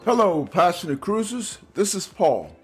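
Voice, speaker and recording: A man's voice: high-pitched, very animated, measured pace, soft background music. A man hosting a cruise podcast, reading an enthusiastic intro and then chatting with many fillers. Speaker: podcast host. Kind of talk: interview podcast.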